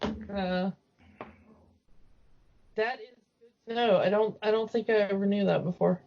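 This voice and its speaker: A woman in a casual, discursive fan chat about cartoons, talking in an animated, opinionated way. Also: medium pitch, unhurried, gravelly, dull audio. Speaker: podcast co-host.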